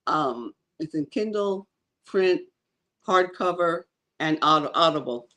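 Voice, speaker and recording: A woman's voice: low-pitched, animated, slow, a bit bright. A woman author from Cuba answering interview questions, hesitant with many ums and restarts. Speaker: author guest.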